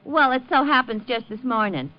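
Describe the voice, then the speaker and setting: A woman playing a sharp-tongued, complaining New shopkeeper's wife in a 1950s radio drama, sardonic and full of rhetorical questions. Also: sits high, animated, normal speed. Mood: sardonic griping. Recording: dull old 1950 radio recording.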